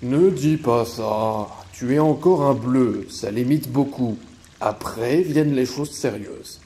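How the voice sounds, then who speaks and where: Man as mellow, seasoned mentor. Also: mid-range voice, slow pace, natural voice. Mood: calm, advising. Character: seasoned male mentor of secret order, calm. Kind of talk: French audio drama acting.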